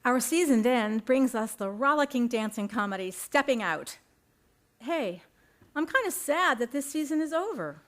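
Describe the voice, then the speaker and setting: High-voiced woman in a scripted promo. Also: lively intonation, moderate pace. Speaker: advert presenter. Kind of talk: scripted theater promo dialogue.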